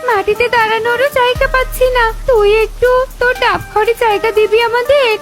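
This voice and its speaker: A tiny, shrill forest bird for a children's cartoon. The voice is extremely high and imploring, over clear background music. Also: female voice, steady tone, brisk. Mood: pleading. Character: squeaky, pleading, remorseful forest bird seeking shelter.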